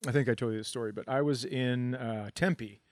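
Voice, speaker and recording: A man's voice: mid-range voice, fairly quick, a little mellow, no room sound. A man with a middle-range voice in a very dry recording. He hosts casually, asking questions and telling comic stories with a dry, unrushed delivery. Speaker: podcast host, comedian. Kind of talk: casual chat, comic anecdotes. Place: podcast conversation, dry sound.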